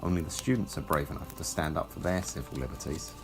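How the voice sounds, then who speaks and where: Man as a gravelly, wry ageing academic. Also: fast, over music. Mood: dry, weary. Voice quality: low and gravelly. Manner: dry, unflappable, sardonic. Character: wry, world-weary ageing male Time Lord tutor. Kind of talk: audio drama acting.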